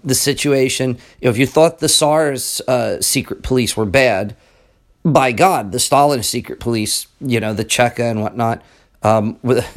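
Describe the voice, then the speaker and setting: Podcast host, male, a bit hoarse today, mid-pitched, earnest and chatty, lots of fillers. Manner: conversational, frequent ums and asides, earnest. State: raspier voice than usual. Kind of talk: casual spoken monologue on books and history.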